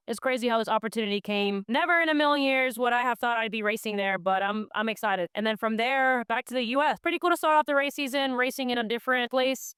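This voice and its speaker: A woman's voice: a little high, a bit bright, smooth, no echo. A woman from the United States, a racing driver, chatting to camera in an upbeat, chatty vlog style from a race shop garage. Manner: upbeat and chatty, addresses viewers directly. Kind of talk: casual vlog talk to camera.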